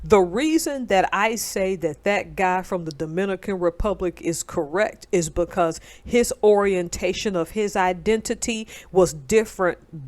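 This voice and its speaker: A woman's voice: fairly low pitch, a little mellow. A woman, giving a casual, emphatic commentary monologue. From North Alabama, United States. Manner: emphatic, conversational, digressive. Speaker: commentator, military veteran.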